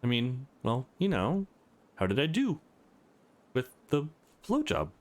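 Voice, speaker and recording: A man's voice: mid-range voice, huge pitch swings, takes it slowly. A man voicing a petite, shy young woman in a visual novel, with a hesitant, awkward manner. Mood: awkward, bashful.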